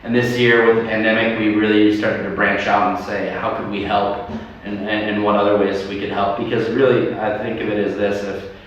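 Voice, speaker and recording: A man talking in an animated way as a guest in a livestream interview, laughing often and restarting his sentences. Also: on the low side, moderate pace, far from the mic, lo-fi sound. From United States. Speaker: sports club leader and guest. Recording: echoey room from afar, faint noise.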